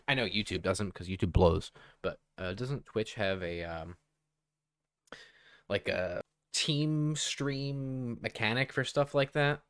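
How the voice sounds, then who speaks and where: Male co-host, slightly low voice, casual joking banter on a gaming podcast. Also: slow, smooth.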